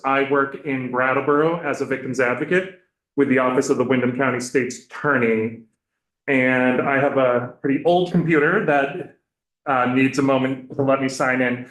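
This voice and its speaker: Man, victim advocate, committee testimony, loud voice, many fillers, echoey room. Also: medium pitch.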